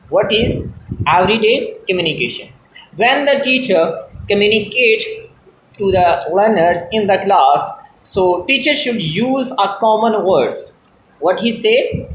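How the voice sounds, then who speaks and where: A man from Pakistan, a native Punjabi speaker, teaching an English lesson in a Pakistani accent. He explains things slowly and repeats himself often, with many hesitations. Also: high voice, fairly bright tone, reverberant, slight background noise, rough recording. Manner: slow, repetitive, hesitant with frequent fillers.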